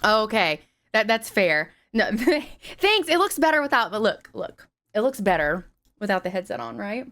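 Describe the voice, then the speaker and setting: Chatty streamer woman, slightly raspy. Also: mid-range voice, moderate pace. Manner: chatty, swears freely, animated greetings. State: slightly raspy after flu. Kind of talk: casual stream chat greeting viewers. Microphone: headset.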